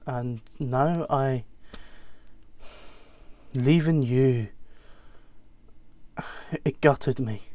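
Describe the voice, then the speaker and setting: A man's voice: mid-range voice, darkish tone, no room sound, lightly acted. Pleading, remorseful mother played by a man. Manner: earnest, halting, pleading. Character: pleading, remorseful mother of a grown abandoned son. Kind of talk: dramatic acted dialogue.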